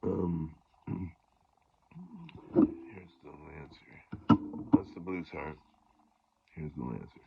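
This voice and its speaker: Gravelly low-voiced man, tinny sound. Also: drawn-out words, long pauses. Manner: rambling, many fillers and throat clearing. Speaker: blues harmonica player. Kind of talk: casual talk to camera.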